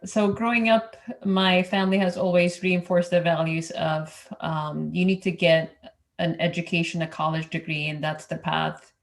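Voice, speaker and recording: A woman's voice: lowish voice, steady tone, normal speed. A woman from Ethiopia who works as an engineer, speaking as a roundtable panelist in a hesitant way, with many fillers and restarts. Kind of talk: casual roundtable talk.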